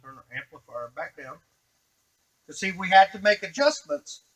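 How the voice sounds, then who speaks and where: A man's voice: sits high, very animated, normal speed, a bit bright, rough recording. A man giving a casual, unscripted tutorial on a device, explaining step by step to the viewer as he works. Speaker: device demonstrator.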